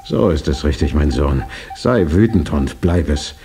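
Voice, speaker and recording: An actor playing a terminally ill, gruff and imperious old millionaire in a German radio play, speaking in a curt, commanding way. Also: male voice, extremely low pitch, expressive, unhurried, heavily acted. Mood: grave resolve. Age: older.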